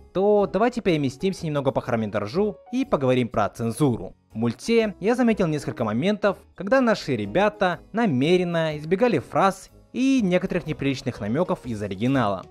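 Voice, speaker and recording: Lively male video essayist over music. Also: fairly high pitch, brisk, hardly any pauses. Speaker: video host reviewing film dubbing. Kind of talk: scripted narration, video essay commentary. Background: background music.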